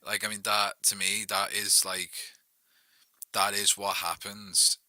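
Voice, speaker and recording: A man's voice: lowish voice, level tone, takes it slowly, sharp tone, no echo. A young man with a Liverpool accent, a songwriter guest talking loosely and casually, full of "like" and "you know what I mean". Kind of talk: casual chat.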